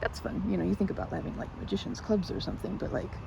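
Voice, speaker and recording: Stammering, timid young woman, acted out by a woman, muffled amid loud ambience. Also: medium pitch, expressive, fast, creaky, noisy background. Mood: musing, playful. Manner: stammering, hesitant, flustered, giggly. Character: timid, awkward, sweet young woman, a park regular. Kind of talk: ASMR roleplay, improvised-sounding one-sided conversation.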